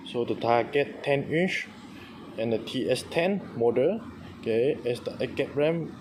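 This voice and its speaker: A man with a Malaysian accent giving an informal product demonstration in short, clipped, halting phrases of simple English. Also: medium pitch, a little mellow. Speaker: car audio installer demonstrating a product. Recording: noisy room with clear background noise.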